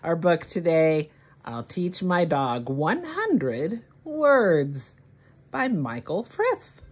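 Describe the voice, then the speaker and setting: Woman, story-time host, lower-pitched voice, very varied intonation, expressive picture-book reading. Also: husky. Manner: expressive, deliberate delivery for young children. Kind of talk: animated read-aloud of a children's picture book.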